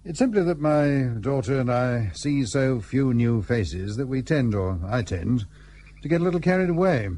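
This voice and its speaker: An actor playing a polite, inquisitive, older colonial hotelkeeper in a period radio play, with old-fashioned phrasing. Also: male voice, mid-range voice, lively intonation, moderate pace, dark tone, lightly acted. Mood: apologetic. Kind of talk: period radio drama acting.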